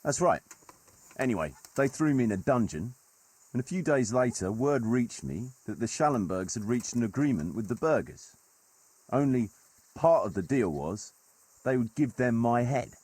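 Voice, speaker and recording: An actor playing a gruff, wry medieval nobleman turned mercenary leader in a video game, telling stories in colloquial, old-fashioned turns of phrase with a husky voice. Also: medium pitch, big pitch swings, moderate pace, darkish tone, dry recording. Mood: animated storytelling. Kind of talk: dramatic storytelling in a video game cutscene.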